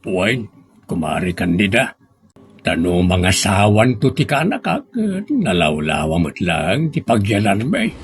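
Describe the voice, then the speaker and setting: An actor playing a weary, aggrieved older village man, speaking slowly and haltingly in radio drama style. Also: low voice, sing-song, slight background noise, exaggerated voice. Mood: subdued.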